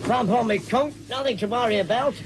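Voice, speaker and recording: A man acts a working-class sidekick in an old-fashioned radio adventure. He is cheerful and shrugs off trouble. The recording is clearly noisy. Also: very high-pitched, brisk. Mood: stoic, cheerful. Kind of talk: 1940s radio adventure serial acting.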